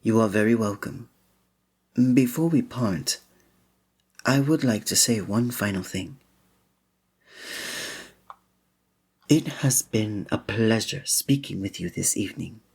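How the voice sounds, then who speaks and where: Man playing a soft, breathy, gallant fantasy innkeeper. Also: on the low side, a little slow, full character voice. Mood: flirtatious, tender. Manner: formal, old-fashioned, gallant, gentle phrasing. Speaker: ASMR roleplay performer. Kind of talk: scripted romantic ASMR roleplay.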